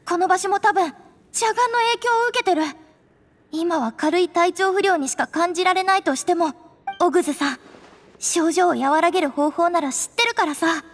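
Earnest girl ranger, very high voice. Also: moderate pace. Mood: concerned. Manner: earnest, boyishly informal. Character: earnest, caring tomboyish teenage forest ranger girl. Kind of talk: anime game dialogue.

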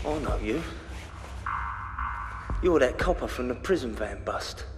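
A man's voice: mid-range voice, animated, measured pace, music in the background, natural voice. An actor playing a bitter, cornered ex-convict father in a video game, blunt, with a London accent. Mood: wary. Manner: blunt, embittered. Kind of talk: video game cutscene acting. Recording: long echoing reverb.

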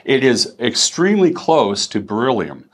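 A man's voice: mid-range voice, sing-song, moderate pace, a bit bright, breathy edge. A middle-aged man giving an informal product explanation in a conversational way, as a company representative. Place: small indoor demo room.

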